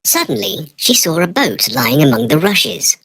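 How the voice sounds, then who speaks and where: A woman's voice: deep voice, sing-song, normal speed, fairly bright tone, husky, no room sound. A woman narrating a children's storybook from a script.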